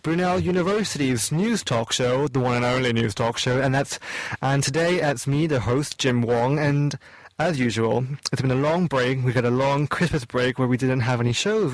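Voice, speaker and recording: A man's voice: mid-range voice, dry recording, low-quality recording. A young man hosting a student radio talk show, chatty and rambling, often correcting himself mid-sentence. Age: young adult. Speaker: host. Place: small student radio studio.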